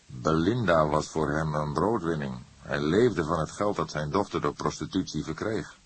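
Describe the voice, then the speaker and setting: Man playing a low, husky old detective. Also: no room sound. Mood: reasoning. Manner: measured, stern, deliberate. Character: old grey-haired male police detective, calm, stern, shrewd.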